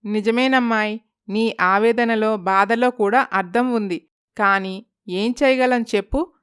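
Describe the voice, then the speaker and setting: A woman voicing a kindly, consoling motherly neighbour in an animated moral story. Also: fairly high pitch, a little slow, clean tone, dry recording, clean studio sound.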